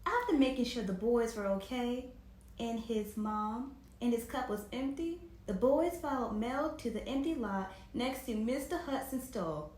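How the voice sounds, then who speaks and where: A woman reads to children as a storytime host. Her voice sits a little high and swings expressively through each phrase at an unhurried pace. She addresses her listeners directly, and the room adds a clear echo. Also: lively intonation, a little mellow. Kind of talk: animated read-aloud storytelling for children. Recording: roomy, echoing home recording.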